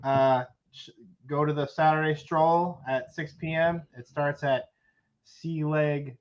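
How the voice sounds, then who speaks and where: A man's voice: fairly high pitch, steady tone, slow pace. Eager man co-host, lo-fi. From South Texas. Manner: enthusiastic, stumbling restarts. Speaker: livestream co-host. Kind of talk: casual livestream chat. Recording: lo-fi stream audio.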